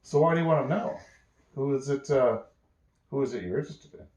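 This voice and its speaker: A man voicing a cagey, boastful petty thief in a bedtime story, talking in a casual, halting, guarded way. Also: mid-range voice, darkish tone, muffled recording, pitched above the performer's own voice, natural voice. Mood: cagey, confiding. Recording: roomy, echoey home recording.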